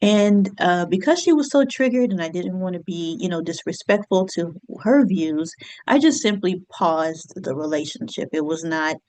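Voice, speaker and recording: Reflective woman with a lowish voice. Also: moderate pace, rough recording. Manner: reflective, conversational, with frequent fillers and soft laughs. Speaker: astrologer and business coach. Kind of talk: casual solo talk to camera.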